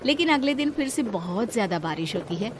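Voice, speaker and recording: A woman's voice: a little high, lively intonation, brisk, background noise. A woman giving scripted narration of a moral story as the storyteller.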